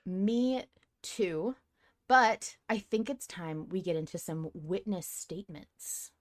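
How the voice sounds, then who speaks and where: Lower-voiced woman chatting on a podcast. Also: expressive, slow. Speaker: podcast host. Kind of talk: casual podcast discussion.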